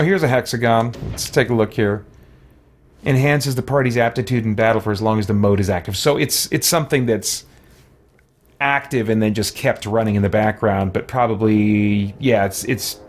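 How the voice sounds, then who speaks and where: A man's voice: fairly low pitch, moderate pace, slight background noise. A man giving casual let's play commentary, muttering to himself as he reads game text aloud and mulls it over.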